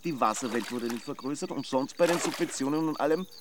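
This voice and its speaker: A man acts an Austrian village local in a radio crime drama. His mid-pitched voice is wry and colloquial as he explains matter-of-factly, with clear insect buzz behind him. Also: fast talker, noisy background, lightly acted. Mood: knowing, wry.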